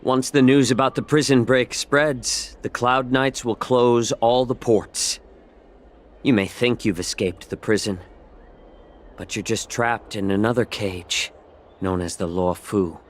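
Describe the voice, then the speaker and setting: A man voicing a wary, shrewd fox-folk captive in a video game, measured and quietly defiant. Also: medium pitch, fairly even intonation, natural voice. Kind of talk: dramatic video game voice acting.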